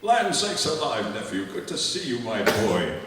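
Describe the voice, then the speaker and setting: An actor playing a bewildered, old-fashioned gentleman uncle with formal, theatrical diction in an old-time radio comedy. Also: medium pitch, sing-song, normal speed, lightly acted. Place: live library show before an audience. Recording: echoey live hall, distant mic, loud music.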